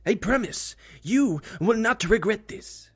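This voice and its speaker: A polite, earnest male doctor, acted by a man, in an audio drama. The recording has clear noise. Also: high voice, lively intonation, takes it slowly, exaggerated voice. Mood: grateful, earnest.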